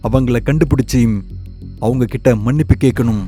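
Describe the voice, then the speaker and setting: A man narrating an animated horror story in a steady, plain manner. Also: mid-range voice, normal speed, soft background music.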